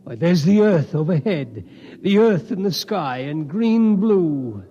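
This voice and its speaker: A frail dying old man, dreamy and halting, slightly high voice, old muffled radio show. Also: big pitch swings, mellow. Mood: awed, dreamy. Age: older. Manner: slow, halting, wistful. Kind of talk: 1950s radio drama acting. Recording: dull, noisy vintage radio recording.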